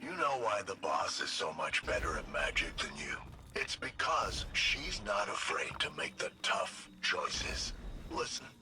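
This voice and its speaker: Sardonic, swooping low-voiced needler. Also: male voice, very animated, measured pace. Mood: sly goading. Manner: mocking, insinuating. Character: sardonic, needling male onlooker goading the player. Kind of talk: dramatic video game voice acting. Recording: loud noise behind the voice.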